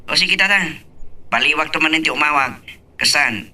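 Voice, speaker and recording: A man's voice: a little high, normal speed, sharp tone, recorded from a distance. A man playing a dutiful, reassuring grown son in an audio love drama, speaking conversationally with an Ilocano accent. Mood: respectful, easygoing. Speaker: voice actor.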